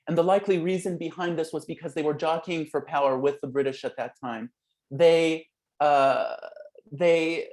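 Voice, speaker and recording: A young adult man, an author speaking as a guest in a conversation, talking in a halting, reflective way with many fillers and restarts. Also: fairly high pitch, darkish tone. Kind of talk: conversational talk.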